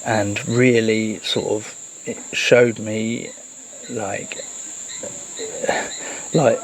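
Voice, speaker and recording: A person giving a casual, reflective monologue, speaking earnestly and haltingly with repeated words. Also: medium pitch, little pitch movement. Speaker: host of a solo talk. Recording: noisy, with chirping insects behind.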